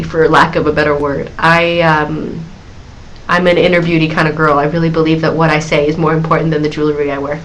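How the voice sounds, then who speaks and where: A woman's voice: deep voice, fairly bright tone, a little hiss or hum, low-quality recording. A woman in her thirties hosting her own live question-and-answer stream, chatting loosely with lots of giggles, fillers and restarts.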